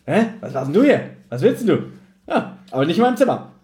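Animated higher-voiced man in an echoey room. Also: quick pace. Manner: animated, acts out mock quotes in put-on voices. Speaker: podcast co-host. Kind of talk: casual chat reviewing an audio drama.

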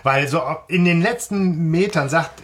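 A man's voice: a little high, a little slow. A man discussing things casually as co-host of a fan podcast.

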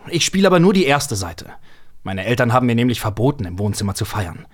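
A man's voice: medium pitch, very animated, fast. Man playing an anxious, reluctant boy. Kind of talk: acted audio drama scene reading.

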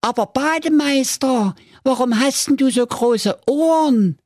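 Squeaky, cheeky little goat kids. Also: male voice, unhurried, a little mellow, dry recording, studio quality. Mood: curious. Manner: whiny, childlike questioning.

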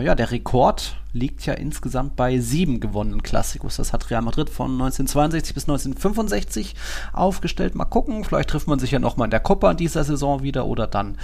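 A man's voice: medium pitch, gravelly. A man, chatting casually as host of a football podcast.

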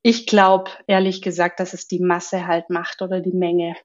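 A woman working as a voice coach and speech therapist, explaining calmly in a podcast interview and often humming along in agreement. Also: on the low side, normal speed, highs cut off. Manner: calm, explanatory, with frequent affirming hums.

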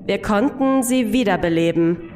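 A woman's voice: medium pitch, expressive, normal speed, a little mellow, soft background music, close to an everyday voice. A woman playing a fierce, hot-tempered undercover agent in a German audio drama. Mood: tense, reporting.

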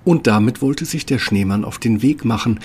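A man's voice: medium pitch, normal speed, a little mellow, a little hiss or hum. A man reading scripted fairy-tale narration as the storyteller of a radio play.

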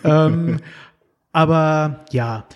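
A man's voice: on the high side, drawn-out words, dull audio. A man hosting a casual podcast conversation, hesitant and searching for his words, with many fillers. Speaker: podcast host.

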